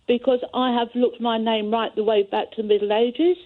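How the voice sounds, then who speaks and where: A woman's voice: fairly high pitch, fairly even intonation, moderate pace. Insistent English woman on tinny phone line. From England. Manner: insistent, blunt. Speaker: radio phone-in caller. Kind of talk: phone-in argument. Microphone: telephone.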